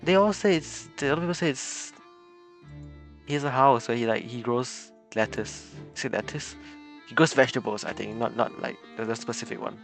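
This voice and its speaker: Casting: female live streamer with a strikingly low voice, informal, hesitant reactions over game music. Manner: halting, thinking aloud. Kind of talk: casual live commentary on a game.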